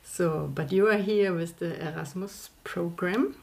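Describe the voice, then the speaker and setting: A woman's voice: fairly low pitch, unhurried, vocal fry, a little mellow. A woman hosting a podcast as an informal interviewer. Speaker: podcast host.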